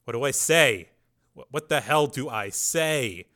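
Narrator putting on a nervous male scientist: stutters, bright, higher and louder than the narration. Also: fairly quick, natural voice. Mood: frantic. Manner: stammering, frantic, raised voice. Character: anxious young male scientist, stammering and lying.

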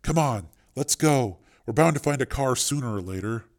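A tough, stubborn woman from an action audiobook, as a man acts her out. She sounds weary and terse, speaking slangily at an unremarkable, level pitch for the narrator. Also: expressive, normal speed, darkish tone, close to an everyday voice.

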